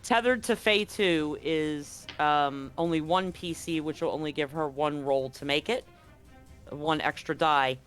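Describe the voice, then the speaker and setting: A man's voice: on the high side, measured pace. A man running a tabletop sci-fi roleplaying game, explaining rules conversationally and narrating scenes with some drama. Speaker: game master. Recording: faint music under the voice. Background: soft background music.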